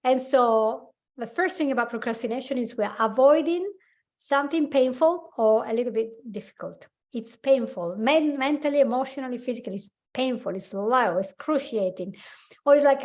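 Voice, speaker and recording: An Italian-accented woman in her forties chats as a podcast guest. Her voice sits a bit high, and she talks in an animated way, laughing often between her points. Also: moderate pace. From Italy. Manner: animated, laughs often, slightly broken English. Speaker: guest, coach and hypnotherapist. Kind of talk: casual interview chat.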